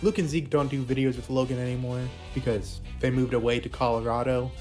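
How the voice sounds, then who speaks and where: A man's voice: mid-range voice, little pitch movement, fairly quick, darkish tone. Guy narrating a video essay, scripted documentary style, bit of music behind him.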